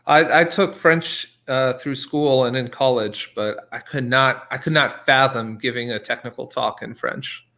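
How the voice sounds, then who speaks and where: A man in his thirties hosts a laid-back talk show from home, speaking into a headset mic. His voice is bright and lively, and he chats loosely and laughs easily. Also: medium pitch, a little slow, hardly any pauses. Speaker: podcast host. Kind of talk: casual chat.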